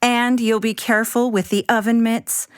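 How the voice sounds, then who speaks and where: A woman voicing a calm, caring mother in a children's story, gentle and thoughtful in manner. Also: fairly high pitch, smooth, no echo, clean studio sound, close to an everyday voice. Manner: measured, thoughtful, gentle questioning.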